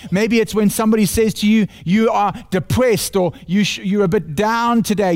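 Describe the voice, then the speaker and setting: A man with a South African accent delivers a sermon, his voice sitting noticeably high for a man. He talks earnestly, circling back on phrases as he builds each point. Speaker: pastor.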